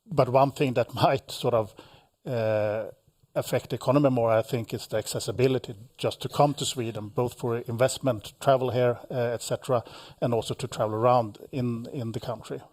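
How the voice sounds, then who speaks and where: A man speaking as a panelist at a seminar, halting with frequent fillers and repeated words. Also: medium pitch, steady tone, a little mellow. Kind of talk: panel discussion.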